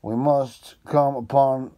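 A man doing a halting detective with French asides. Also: mid-range voice, steady tone, dark tone, lo-fi sound, voice pushed up, natural voice. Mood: earnest. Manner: halting, sprinkled with French fillers. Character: brisk male detective with mock French words.

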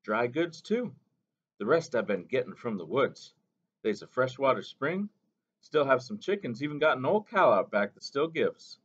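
Guy doing a devout old mama, lilting and pitched way up, swoops around a lot, dull lo-fi sound. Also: sing-song, normal speed, husky. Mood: fervent. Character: devout older woman, a doting mother. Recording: dull lo-fi recording.

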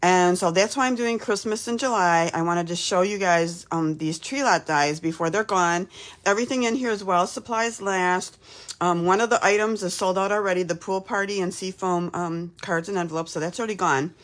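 A woman from Texas in her fifties, slightly hoarse from a cold, chatting casually while hosting a live craft demo from her home craft room. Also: on the low side, moderate pace. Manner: chatty, upbeat, lots of "um"s.

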